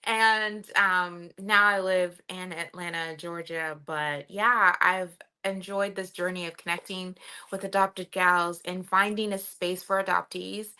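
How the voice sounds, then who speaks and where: Young woman from Cameroon, bright tone, chatty podcast guest. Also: on the low side, steady tone, measured pace, smooth. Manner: chatty, many fillers, laughs while telling her story. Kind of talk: casual podcast interview.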